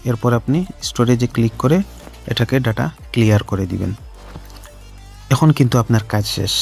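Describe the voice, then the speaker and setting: A man's voice: mid-range voice, brisk, vocal fry, darkish tone. A man narrating a how-to tutorial as host, explaining step by step and repeating his instructions to viewers. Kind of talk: scripted-sounding how-to narration addressed to viewers. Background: background music.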